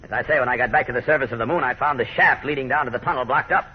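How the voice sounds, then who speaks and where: An actor playing a confident, steady superhero with a brisk, matter-of-fact manner in a 1940s radio serial. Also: male voice, mid-range voice, breathy edge, close to an everyday voice. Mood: assured, explaining. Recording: muffled vintage radio recording.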